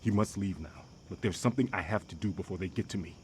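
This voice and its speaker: A man voicing a hunted informant who speaks in hushed, hurried tones. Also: low-pitched, noisy background, lightly acted. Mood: urgent. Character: hunted man handing over a secret, urgent.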